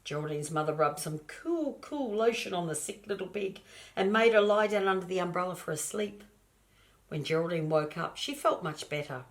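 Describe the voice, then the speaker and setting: An older woman with a fairly low voice reads a picture book aloud as a grandmother would. She is expressive and homely, breaking off for small asides addressed straight to the children. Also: normal speed.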